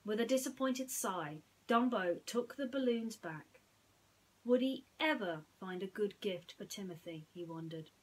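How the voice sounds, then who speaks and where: A woman storyteller with a breathy, slightly rough voice at a medium pitch. She speaks in the manner of someone reading a bedtime story and reflecting on it. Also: lively intonation, unhurried, rough recording.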